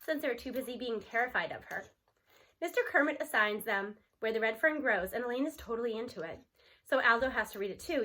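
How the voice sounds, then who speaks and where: A woman's voice: fairly high pitch, pushed and bright. An American woman reading a children's novel aloud, telling it expressively in the first person as a teenage narrator. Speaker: storybook reader.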